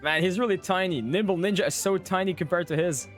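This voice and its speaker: A man's voice: sits high, fast, soft background music. A man giving casual, joking live commentary as a game streamer, often repeating his phrases.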